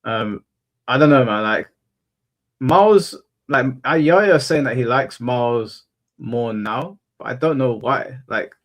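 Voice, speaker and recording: A man hosting a casual panel chat, speaking in a monotone and often restarting his sentences while he thinks. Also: medium pitch, slow pace. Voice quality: monotone delivery. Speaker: podcast host.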